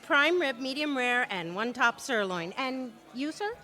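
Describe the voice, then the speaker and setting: An actress playing a friendly, efficient diner waitress in a radio drama, polite and chirpy. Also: female voice, sits high, lively intonation, measured pace, bright, forceful tone, heavily acted. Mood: obliging. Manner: chirpy, polite service patter.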